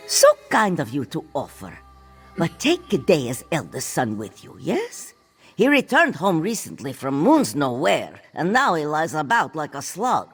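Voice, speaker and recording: A woman voicing an aging female cat-folk farm owner in a fantasy video game, a proud, exasperated mother with a husky voice and formal third-person phrasing. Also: deep voice, huge pitch swings, unhurried, soft background music, full character voice.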